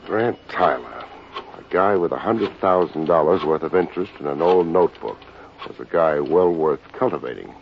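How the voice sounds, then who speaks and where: An actor playing a cocky, hardboiled private detective in an old-time radio drama, narrating and bantering in wry slang. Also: male voice, rumbling low, expressive, normal speed, vocal fry, noisy background, full character voice. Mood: wry narration. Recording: muffled old radio recording with music.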